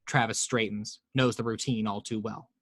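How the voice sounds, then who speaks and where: A man's voice: lowish voice, steady tone, normal speed, slightly rough, no room sound. A man narrating screenplay action and scene directions as the reader in a scripted table read.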